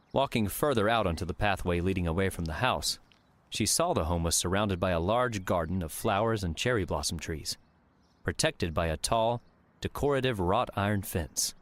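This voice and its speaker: A man reading scripted fantasy story narration as an audiobook narrator. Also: sits low.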